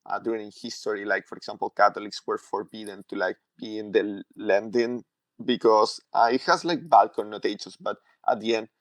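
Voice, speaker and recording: Man explaining in halting bursts. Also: lowish voice, no room sound. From Chile. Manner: halting, heavy use of "like" and "uh" fillers. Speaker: tech company CTO, podcast guest. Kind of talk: casual technical explanation.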